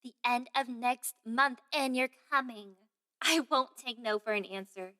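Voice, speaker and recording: A young woman acting a chatty, excitable palace gossip in an expressive, theatrical way for a fantasy audio drama. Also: a little high, a little slow. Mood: confiding, insistent.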